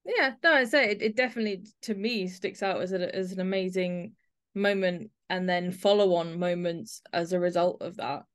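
A woman's voice: fairly low pitch, moderate pace. A woman talking conversationally as the interviewer on a podcast.

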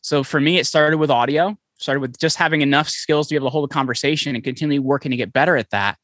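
Fast-talking, bright-voiced man. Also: fairly high pitch, no echo, clean studio sound. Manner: fast, animated storytelling with many restarts. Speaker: podcast guest. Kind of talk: conversational interview. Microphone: podcast microphone, remote call.

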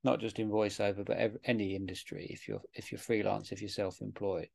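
A man hosting a casual interview, asking reflective questions with halting restarts. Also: fairly low pitch, little pitch movement, gravelly, darkish tone. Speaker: interviewer, host.

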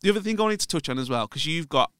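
Chatty podcast host. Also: male voice, fairly high pitch, expressive, racing, fairly bright tone, smooth, no echo, studio quality. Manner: friendly, prompting questions, acts out quotes. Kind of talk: casual interview chat.

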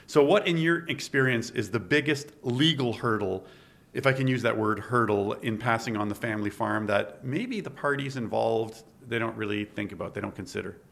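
A man hosts a local interview at a studio table. His voice carries a gravelly, creaky edge. He talks in a chatty way, thinking aloud with little asides. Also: mid-range voice, moderate pace, a bit bright. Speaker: podcast host. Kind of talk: casual interview.

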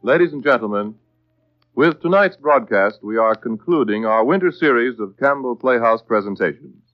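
A man's voice: mid-range voice, expressive, normal speed, no room sound, dull audio, faint music behind. An American man working as a radio announcer, reading scripted copy in a formal, carefully enunciated 1940s style. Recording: dry, band-limited vintage radio broadcast.

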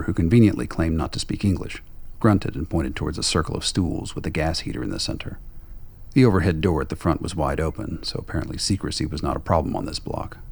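A man's voice: extremely low pitch, gravelly, breathy edge. A man reading scripted radio play narration in a wry, sardonic manner. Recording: faint background noise.

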